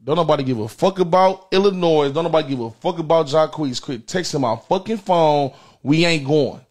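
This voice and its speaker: A man's voice: fairly high pitch, brisk, a little mellow. An American man in his thirties hosting a comedy livestream with casual, profane, repetitive slangy banter. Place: indoor studio in front of a printed backdrop.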